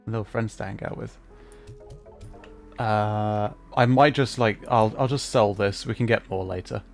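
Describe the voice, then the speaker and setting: A man's voice: lowish voice, fairly even intonation, measured pace, creaky, soft background music. A man casually chatting on a gaming livestream, thinking aloud in a hesitant way. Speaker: streamer. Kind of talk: casual livestream gameplay chat.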